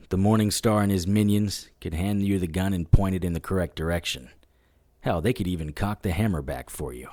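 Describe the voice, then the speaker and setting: A man's voice: sits low, darkish tone, no echo, clean studio sound, close to an everyday voice. An actor playing a world-weary, sardonic demon hunter who narrates his story in gritty, matter-of-fact noir style. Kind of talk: first-person noir audio drama narration.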